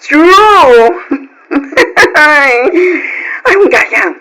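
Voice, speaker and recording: A man's voice: extremely high pitch, sing-song, extremely slow, bright, forceful tone, exaggerated voice, low-quality recording. A man playing a flamboyant, gossipy, teasing friend in an Ilocano radio drama, with campy exclamations. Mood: gleeful, teasing.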